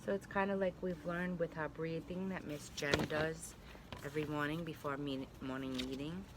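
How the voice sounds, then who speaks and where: A woman preschool teacher reading a storybook aloud to young children, gently and reassuringly, with chatty asides. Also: low-pitched, steady tone, moderate pace, heavy background noise. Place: at home.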